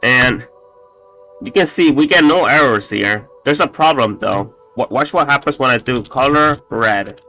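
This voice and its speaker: A man casually walking through his code, halting often with fillers and restarts, with a slightly raspy voice and the odd swear. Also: mid-range voice, lively intonation, pushed and bright, soft background music. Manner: halting, full of fillers and restarts, profane asides. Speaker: coding tutorial host. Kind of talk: casual screen-recorded coding walkthrough.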